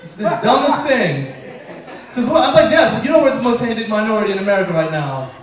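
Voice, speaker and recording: A young man with a high voice doing stand-up, telling deadpan stories and slipping into the people he describes. His voice is heard from a distance on a live stage mic. The room is very echoey. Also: brisk. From Afghanistan. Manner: deadpan storytelling, acting out characters in quotes. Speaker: stand-up comedian. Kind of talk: stand-up comedy set. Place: comedy club stage.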